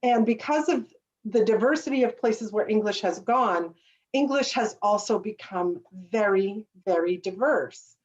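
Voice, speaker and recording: An American woman giving a friendly, measured talk to students over a webinar. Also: medium pitch, expressive, a little mellow. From United States. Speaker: guest speaker. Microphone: video call. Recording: distant, dull lo-fi webinar audio.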